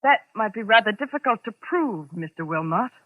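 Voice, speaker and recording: A woman's voice: medium pitch, expressive, moderate pace, bright, forceful tone, no room sound. An actress playing a cold, bitter young secretary with anguish under her control, in intense 1940s radio melodrama style. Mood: cool, guarded. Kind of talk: 1940s radio drama acting. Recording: muffled, band-limited vintage radio recording.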